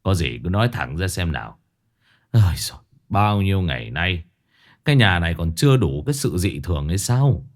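A gruff old village husband with a deep, hushed voice and a wary edge, as a male storyteller puts him on. His speech is low and quiet, with lively swings in pitch. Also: moderate pace.

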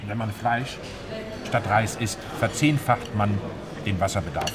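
An actor playing an earnest, polite expert who explains slightly hesitantly, in a documentary-style radio play. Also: male voice, fairly low pitch, animated, unhurried, crowd noise. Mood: earnest, explanatory. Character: earnest, polite male expert advocating a frugal diet. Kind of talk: radio play acted as a canteen discussion. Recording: loud canteen clatter of dishes and cutlery.

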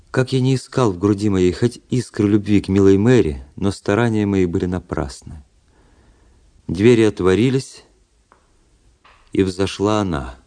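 A man's voice: low-pitched, dark tone, no echo. An actor playing a brooding, world-weary young officer, narrating his past in a reflective, literary radio drama style. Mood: detached, uneasy. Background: music.

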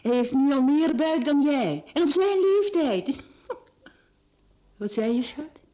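An actress with a Flemish accent playing a teasing, flirtatious middle-aged mistress in a radio drama, coaxing and sly. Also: female voice, high voice, lively intonation, slow pace, warm tone. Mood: gleeful.

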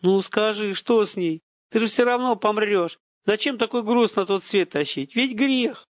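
Man acting out a desperate pleading father, high-pitched. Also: slow, darkish tone, no room sound. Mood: tearful pleading. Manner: imploring, flustered, near tears. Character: desperate pleading father searching for his missing daughter.

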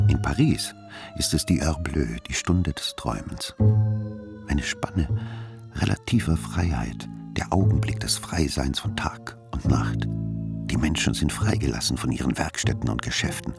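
Very deep, dreamy, creaky male narrator. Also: huge pitch swings, a little slow. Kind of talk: poetic radio play narration. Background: prominent plucked guitar music.

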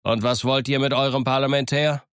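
A man's voice: medium pitch, animated, moderate pace, a bit bright, no echo, clean studio sound, close to an everyday voice. A man voicing a stern, brusque ship's captain with a curt, commanding manner in a storybook reading. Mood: curt, defiant.

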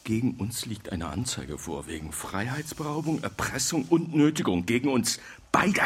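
An actor playing an aggrieved, confrontational working man at his wits' end in a radio drama, forceful and accusing. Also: medium pitch, lively intonation, moderate pace, background noise, lightly acted. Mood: bitter, angry.

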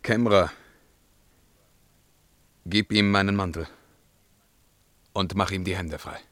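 Imperious, brooding emperor in bright, forceful voice. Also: male voice, sits low, fairly even intonation, takes it slowly, no room sound. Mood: grand, commanding. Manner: declamatory, swinging between command and hushed brooding. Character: imperious, brooding emperor, grand and self-tormenting. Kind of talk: German radio play verse acting.

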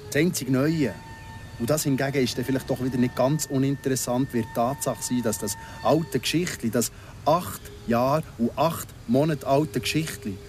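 A man's voice: medium pitch, normal speed, a little mellow, faint music behind, lightly acted. An actor playing a needling, falsely courteous ex-convict in his thirties in a radio drama, speaking with a Swiss German accent, mock-polite and insistent. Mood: agitated, insistent. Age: 30s.

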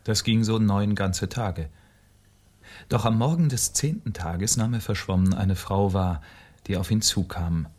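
A man's voice: fairly low pitch, measured pace, darkish tone, breathy edge. A man narrating a scripted fairy tale as the storyteller of a radio play. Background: music.

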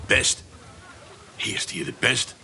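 An actor playing a gruff, domineering army captain who gives curt commands in a Dutch historical radio play. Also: male voice, slow, pushed and bright, slight background noise. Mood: stern, suspicious. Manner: curt, commanding, barking orders.

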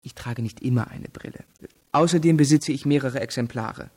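An actor playing a cool, arrogant, educated rich young student in a radio play, speaking precisely and evasively in a reverberant room. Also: male voice, mid-range voice, moderate pace, a little mellow, lightly acted.

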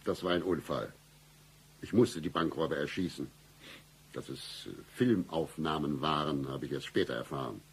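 An actor playing a cynical, deadpan hard-boiled private detective in a satirical noir radio play, with a husky voice. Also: male voice, normal speed, slight background noise. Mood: cold, menacing. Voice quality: very deep, husky, gravelly. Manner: deadpan, accusing, melodramatic.

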